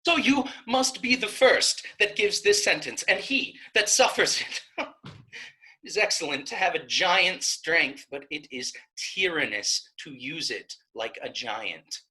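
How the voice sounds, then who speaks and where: Actress as young Shakespearean heroine: pleading, righteous, rhetorical, highly animated pitch, forceful edge, measured pace. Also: female voice, full character voice. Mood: indignant. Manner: impassioned, rhetorical verse delivery. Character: pleading young maiden Isabella, passionate and righteous. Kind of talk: Shakespeare staged reading.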